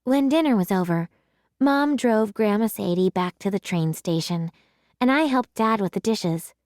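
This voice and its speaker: A woman's voice: medium pitch, animated, moderate pace, darkish tone, no room sound, studio quality. A woman narrating a children's storybook in a scripted read-aloud style.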